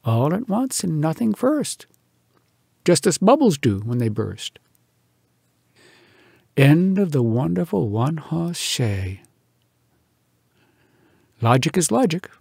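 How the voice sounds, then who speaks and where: A man reads humorous poems aloud as a storyteller, with theatrical, expressive delivery and dramatic pauses. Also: fairly high pitch, creaky, breathy edge, no room sound, clean studio sound. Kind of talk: expressive comic poetry recitation.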